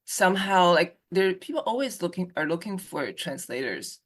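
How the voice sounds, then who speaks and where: A copyright consultant chatting casually, speaking haltingly with many restarts and fillers. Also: medium pitch, sing-song, a bit bright. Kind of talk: casual group conversation.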